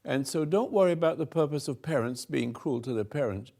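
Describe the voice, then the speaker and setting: An older man slowly giving a rambling spiritual talk to a room, halting and restarting, swinging between soft and loud. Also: a little high, expressive, vocal fry. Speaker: spiritual teacher hosting a talk.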